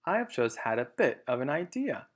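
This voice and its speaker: A thoughtful grown fox and devoted father, voiced by a man reading aloud. His delivery is careful and deliberate, in a children's storybook manner. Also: mid-range voice, big pitch swings. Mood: hesitant.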